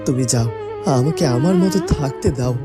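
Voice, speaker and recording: A woman's voice: very deep voice, lively intonation, normal speed, loud music behind the voice. A woman playing a despairing, bitter crown prince and grieving father in a cartoon. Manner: defeated, bitter, sorrowful.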